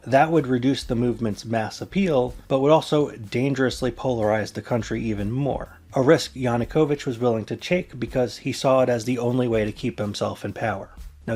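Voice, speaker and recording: Man, presenter, scripted explainer narration, medium-pitched voice. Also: darkish tone.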